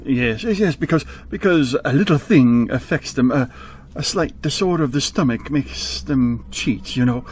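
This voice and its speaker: An actor in a radio play playing a grumpy, frightened old miser who stammers and blusters haltingly. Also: male voice, medium pitch, a little hiss or hum, close to an everyday voice.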